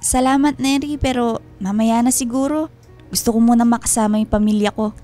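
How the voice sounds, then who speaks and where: A woman's voice: a little high, a little fast, warm tone, lightly acted. Homesick working mother played by a woman, radio drama, guarded and worried, music underneath. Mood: polite, hesitant. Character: worried, homesick wife and mother working abroad, quietly suspicious. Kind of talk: Filipino radio drama acting. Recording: music behind the voice.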